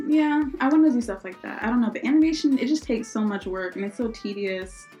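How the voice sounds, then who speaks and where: Young American female podcast co-host, higher voice, loose unscripted chat, faint music underneath. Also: quick pace, warm tone. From born in Israel, raised in Philadelphia, US. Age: young adult.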